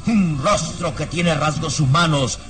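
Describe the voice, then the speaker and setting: A man narrating a vintage radio drama from a script with dramatic, suspenseful and deliberate phrasing. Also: on the high side, fairly bright tone. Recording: radio serial with music behind.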